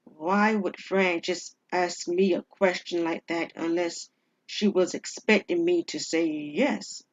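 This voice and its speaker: A woman's voice: on the low side, steady tone, takes it slowly. A woman voicing a subdued, hesitant and thoughtful married man in an audio drama. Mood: uneasy, pondering. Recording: dull, lo-fi audio.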